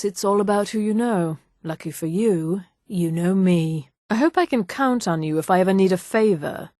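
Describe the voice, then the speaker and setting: A woman playing a haughty, scheming high elf lady. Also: darkish tone, no room sound, clean studio sound, natural voice. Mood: smooth, ingratiating. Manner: haughty, poised, condescending. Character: haughty, vain, scheming female high elf mage and merchant. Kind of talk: scripted video game character dialogue.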